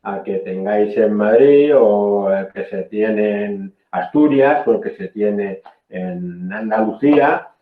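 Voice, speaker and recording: A man's voice: fairly low pitch, slow, few pauses, reverberant. Man teaching a training session on a tinny line. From Spain. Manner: explanatory, frequent "¿eh?" checks, self-corrections. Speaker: technical trainer. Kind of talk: online training lecture.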